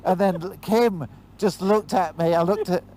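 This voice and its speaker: High-voiced British man with a slight lisp. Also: a little slow. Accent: British English. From Britain. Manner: self-mocking, laughing at himself. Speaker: vlogger. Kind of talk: casual storytelling chat. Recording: loud road noise behind.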